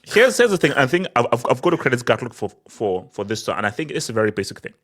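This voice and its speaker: A man from Nigeria makes his case in a loose podcast chat. His pitch sits in an ordinary middle range, and his delivery is animated and emphatic, with quick restarts and doubled words as he pushes each point home. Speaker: podcast co-host. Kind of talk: casual football discussion.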